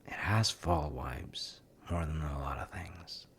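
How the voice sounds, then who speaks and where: Very deep, gravelly man as a cozy dad. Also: takes it slowly, a bit bright, exaggerated voice. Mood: cozy, gently playful. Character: gentle, playful dad baking with his child. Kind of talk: cozy audio roleplay, ASMR-like. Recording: faint noise, kitchen sounds.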